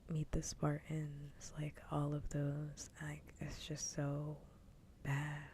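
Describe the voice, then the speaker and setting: A woman speaks in a low, soft and breathy whisper, very close to the microphone as on an ASMR stream. Her tone is dark and mellow, and her chat is casual and frankly opinionated. A faint low rumble sits behind the voice. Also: completely flat delivery, takes it slowly. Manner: casual, opinionated, chatty with dry humour.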